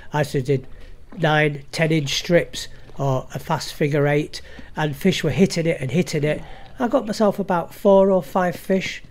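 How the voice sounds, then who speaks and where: A man's voice: a little high, a little slow, slight background noise. A man giving a chatty, matter-of-fact fishing recap outdoors by a lake, recorded on a head-mounted action camera. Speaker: fly fishing vlogger. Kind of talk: casual fishing commentary. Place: outdoors at a stillwater lake bank.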